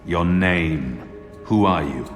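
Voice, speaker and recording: An actor playing a calm, grim male warrior fugitive in a video game, who speaks in short, measured, deadpan lines. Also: low-pitched, soft background music. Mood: stern.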